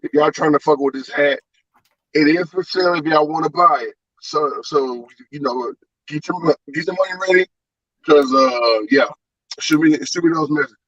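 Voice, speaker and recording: A man's voice: a little high, moderate pace, a bit bright, far from the mic, muffled recording. A man calling into a sports show as a guest from his driveway, laid-back and swearing casually. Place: outdoors in a driveway.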